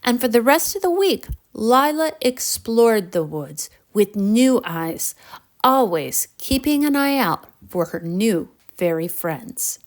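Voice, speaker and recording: Female narrator for children's bedtime tales, unhurried, animated, deliberate. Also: on the high side. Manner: expressive, deliberate storybook delivery. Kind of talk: scripted children's bedtime storytelling.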